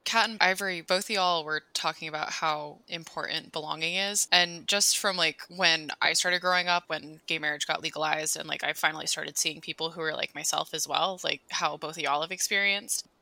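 A moderator, asking a panel questions in an encouraging way. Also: female voice, sits low, fairly even intonation, brisk, vocal fry, few pauses, pushed and bright, dry recording.

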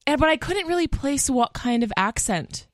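A woman's voice: high-pitched, lively intonation, normal speed, dry recording, clean studio sound. A woman chatting casually and enthusiastically, agreeing and adding her own remarks. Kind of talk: casual chat.

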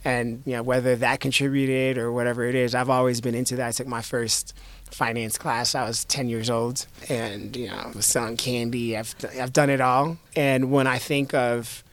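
A man in his thirties from the United States, an investor guest talking reflectively in an interview, in a measured way with repeated starts. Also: mid-range voice, little pitch movement, fairly bright tone. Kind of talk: conversational interview.

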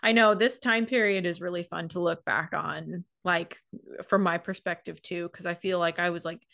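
A woman's voice: on the low side, fairly even intonation, moderate pace, creaky, sharp tone. A woman in her thirties with an American accent, chatting casually and colloquially as a podcast co-host. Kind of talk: casual chat.

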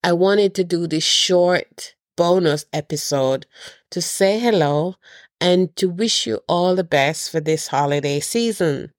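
A woman's voice: lowish voice, a little slow, no echo, clean studio sound. A middle-aged woman hosting a podcast, sounding nasal as she gets over a cold, talking earnestly to her listeners with a few restarts. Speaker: podcast host. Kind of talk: casual holiday message to listeners.

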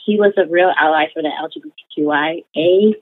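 A young woman with a deep, close, dry voice tells her story in a loose, chatty way. Her talk is full of fillers. The sound is narrow and tinny, like a phone line. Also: a little fast, no room sound. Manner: chatty, many fillers like "like" and "you know". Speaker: interviewee. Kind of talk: casual conversational storytelling.

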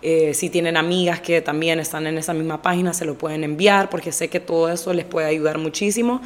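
Podcast host: young woman, a bit hoarse and stuffy, lowish voice, direct and chatty. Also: steady tone, a little fast. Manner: direct, chatty, mixes English phrases into Spanish. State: slightly hoarse, stuffy nose. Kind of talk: casual solo podcast chat.

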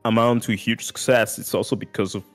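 A man's voice: on the low side, steady tone, brisk, faint music behind. A man guest on a podcast, talking casually and earnestly, throwing in "dude" and "like" a lot. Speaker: music content creator guest.

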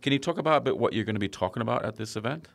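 A man hosting a podcast interview, talking casually with plenty of fillers and pauses, a little jet lagged. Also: mid-range voice, creaky. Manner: relaxed, hesitant with fillers. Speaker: podcast host. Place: in Sydney.

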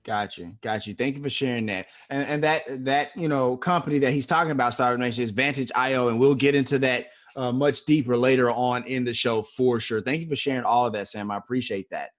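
A man hosting a startup podcast in an upbeat, energetic way, often repeating short phrases of agreement. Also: medium pitch, fairly quick, hardly any pauses. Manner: upbeat, repeats phrases like "for sure" and "got you". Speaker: podcast host.

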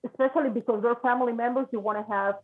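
Husky woman on a tinny phone line. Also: fairly high pitch, steady tone. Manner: halting, repeats words, reassuring. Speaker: psychic reader. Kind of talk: spiritual reading.

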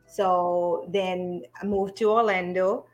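A middle-aged woman from Haiti speaks as a podcast guest. She starts and restarts her sentences, fills the gaps with ums and laughs easily. She keeps the relaxed tone of a casual interview. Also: fairly low pitch, faint music behind, poor audio. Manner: hesitant, many ums, quick to laugh. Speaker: podcast guest, business coach.

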